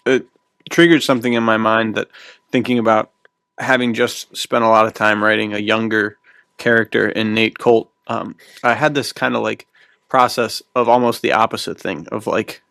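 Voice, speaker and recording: A man's voice: on the low side, fairly even intonation, moderate pace, gravelly, fairly bright tone, no echo. A man in his twenties hosting a podcast in a casual, rambling, self-deprecating way with small chuckles. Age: 20s. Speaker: podcast host and fiction writer. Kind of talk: casual conversational podcast chat.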